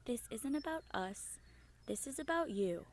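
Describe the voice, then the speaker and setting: A woman's voice: fairly high pitch, animated, a little slow. A young woman playing a teasing, hot-headed, territorial leaf with a blunt manner in a student radio play. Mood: indignant. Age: young adult. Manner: blunt, confrontational. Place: small improvised home recording space.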